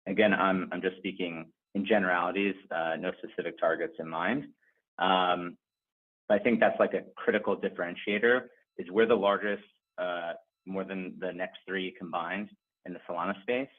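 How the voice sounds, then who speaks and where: A man's voice: low voice, fairly even intonation, normal speed. A male company executive giving unscripted answers on an earnings conference call. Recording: narrow, phone-like conference call line.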